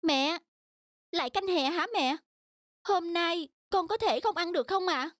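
A woman's voice: very high voice, a little slow, no room sound, studio quality. A woman voicing a stubborn, emotional schoolgirl daughter in a cartoon drama, with a plaintive manner. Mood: weary. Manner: plaintive, complaining. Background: children's style background music.

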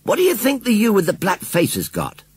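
A man's voice: high voice, wildly swooping pitch, a little fast, breathy edge, dry recording, pitched above the performer's own voice, full character voice. A man voicing a cheerful middle-aged farmer with a hearty, teasing manner. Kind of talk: storybook reading.

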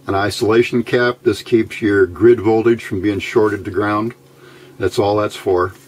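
A man with a fairly low voice presents a casual electronics tutorial. He talks very slowly and methodically, pausing as he picks his words. His delivery is plain-spoken, that of a hobbyist explaining his work at the bench. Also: a little mellow, breathy edge. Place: indoors at a workbench.

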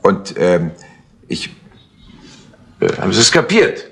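An actor playing a harried, fussy, impatient colonel in a 1960s radio crime play. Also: male voice, deep voice, sing-song, slow, creaky, fairly bright tone, exaggerated voice. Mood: worried, impatient. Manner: fussy, exasperated, hesitant. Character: harried, impatient male colonel, worried senior official. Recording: noisy old 1960s tape recording.

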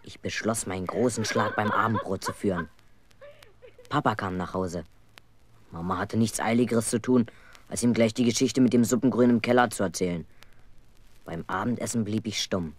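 A man's voice: on the low side, normal speed, long pauses, a little hiss or hum, close to an everyday voice. An actor playing an earnest, aggrieved schoolboy who tells his own story in a 1970s German children's radio play. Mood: brooding.

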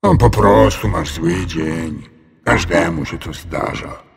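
A man's voice: deep voice, slow pace, vocal fry, slightly rough, pitched below the performer's own voice, full character voice. A man voicing a big, friendly, bumbling male dragon in a children's audio story, with hesitant, drawling, sheepish speech. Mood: soothing.

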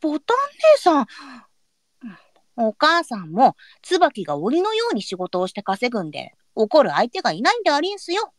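High-pitched, sharp-tongued young courtesan. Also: female voice, huge pitch swings, moderate pace, no room sound, clean studio sound. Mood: pouty. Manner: old brothel courtesan speech.

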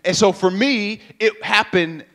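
A young man speaking on a stage with a handheld mic, telling an animated story, acting out sound effects and talking straight to the crowd. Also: sits high, a little slow, vocal fry. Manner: animated, acts out sounds and voices, addresses crowd directly. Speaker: speaker at a church youth event. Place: stage in a purple-lit hall. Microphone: handheld microphone.

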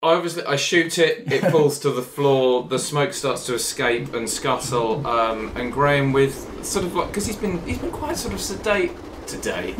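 A man's voice: medium pitch, animated, moderate pace, hardly any pauses, slight background noise. A man playing a tabletop game, polite and a bit hesitant, with many fillers. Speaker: player.

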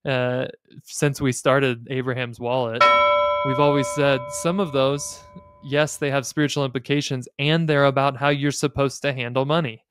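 An American man around his late thirties hosting a podcast, explaining things in a measured, thoughtful way with pauses between phrases, close on a studio mic. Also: mid-range voice, steady tone, over music. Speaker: podcast host. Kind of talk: explanatory podcast talk on personal finance and faith. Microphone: close studio mic on a boom arm.

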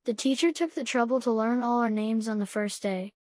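A woman with a fairly high voice reads isolated scripted sentences for a test. The sound is dry, with no room echo. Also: fairly even intonation, a little fast, darkish tone. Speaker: test prompt reader. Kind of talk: scripted example sentences read aloud.

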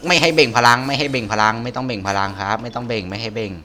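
A man's voice: mid-range voice, racing, bright, forceful tone. A man giving casual, blunt, sweary gameplay commentary as a game streamer. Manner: blunt, sweary, stumbles when reading. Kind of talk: casual gameplay commentary, reading story dialogue aloud.

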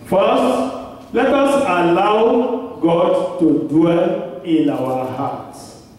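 Man, Nigerian accent, preacher, very slow delivery, booming church reverb, heard from afar. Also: a little mellow. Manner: slow, emphatic preaching with long pauses. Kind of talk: sermon. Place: large church hall. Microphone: handheld mic at a pulpit. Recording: echoey church hall recorded from far off.

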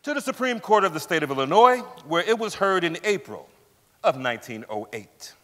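A man's voice: on the high side, very animated, a little fast, a crowd in the background. A man playing a formal narrator, giving emphatic scripted narration in a stage reading. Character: formal male narrator of a court history. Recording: reverberant theatre hall.

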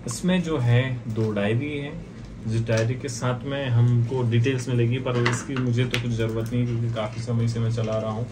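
A man in his thirties with an Indian accent, giving a casual unboxing and review in a halting, repetitive explaining manner. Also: medium pitch, level tone. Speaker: video host reviewing products. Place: small indoor office. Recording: distant mic, clearly noisy room ambience.

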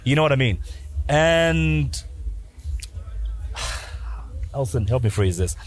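A young man hosting a podcast who asks his questions haltingly, searching for words, on a boom mic at an outdoor night set. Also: animated. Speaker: podcast host. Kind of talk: interview questions. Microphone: studio boom mic.

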